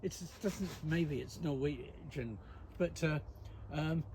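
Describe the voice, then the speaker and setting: A man's voice: on the high side, slow pace, vocal fry, a little mellow, a little hiss or hum. A man chatting with a stranger outdoors, hesitant and polite, with frequent restarts. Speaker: passer-by. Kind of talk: casual chat.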